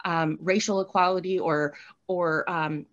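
A woman's voice: lowish voice, steady tone, measured pace, muffled recording. A woman participant sharing a thoughtful reflection in a workshop over a video call, hesitant and searching for words. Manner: hesitant, many fillers and restarts, thoughtful.

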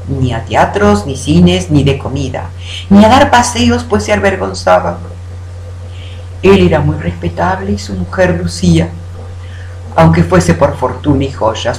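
A woman declaiming a poem in an expressive, deliberate manner with dramatic pauses. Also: low-pitched. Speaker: poetry reciter. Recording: roomy echo with background hum.

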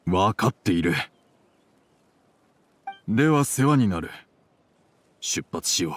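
A man's voice: sits low, expressive, slow, soft background music. A man voicing a stern, reserved middle-aged merchant father in a video game, curt and formal with worry underneath. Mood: curt, aloof.

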